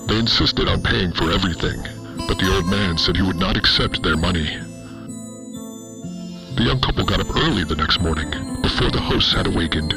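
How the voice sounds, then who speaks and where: A man playing a brooding young space villain, solemnly narrating a radio-style ghost story with self-importance. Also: sits low.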